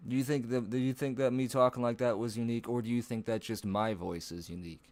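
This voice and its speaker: A man chatting casually on a live stream from home, rambling and swearing, laughing at himself, with a low voice that breaks into odd higher notes. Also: fairly even intonation, quick pace, a little mellow.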